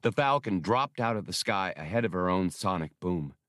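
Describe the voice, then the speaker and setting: A man's voice: sits low, animated, normal speed, a bit bright, no room sound, studio quality. A man reading scripted narration from a science fiction adventure novel as an audiobook narrator.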